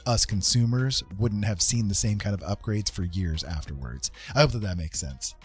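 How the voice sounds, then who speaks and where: An American man hosting a livestream, chatting casually and explaining things in an informal, halting, rambling way. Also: fairly low pitch, breathy edge, faint music behind. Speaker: livestream host chatting with viewers.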